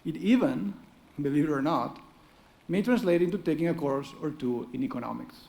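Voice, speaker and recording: A man's voice: a little high, gravelly. A middle-aged man with a Spanish accent, an economics professor delivering an earnest, encouraging ceremonial speech with touches of dry humor at a podium microphone. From Spain.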